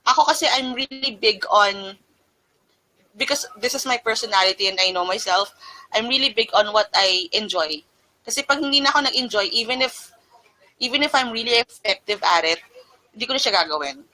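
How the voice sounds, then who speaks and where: A woman's voice: on the high side, fairly quick, bright, forceful tone, low-quality recording. A Filipino woman guest answering interview questions casually, mixing Tagalog and English. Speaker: guest freelancer.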